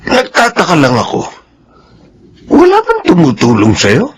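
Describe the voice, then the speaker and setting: Eager Filipino married man, lively intonation, brisk manner, radio drama. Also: a little high, a little hiss or hum. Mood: puzzled.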